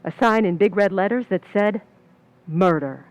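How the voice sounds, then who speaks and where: A woman's voice: mid-range voice, normal speed, dull audio, close to an everyday voice. A woman playing a cool, ambitious, scheming young Hollywood stand-in in an old-time radio suspense play, with hard-boiled, knowing delivery. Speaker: actress in a community playhouse radio play. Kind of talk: old-time radio suspense acting with first-person narration.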